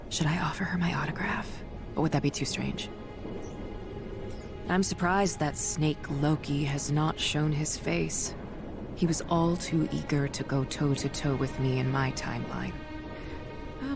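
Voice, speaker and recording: Low-voiced, battle-weary warrior goddess. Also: female voice, vocal fry. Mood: wry, hesitant, then measured, dry. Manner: measured, theatrical, formal phrasing with dry wit. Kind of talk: dramatic video game voice acting.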